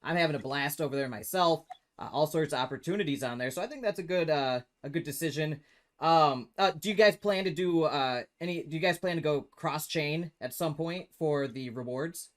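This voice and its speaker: A man's voice: fairly high pitch, a little fast. A man hosting an online interview, upbeat and encouraging, asking long questions over a remote call mic. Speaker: interview host.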